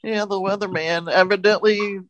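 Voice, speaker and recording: A woman's voice: mid-range voice, fairly even intonation, normal speed. A woman co-hosting a podcast, chatting casually and retelling odd news stories in a folksy, joking way. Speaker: podcast co-host.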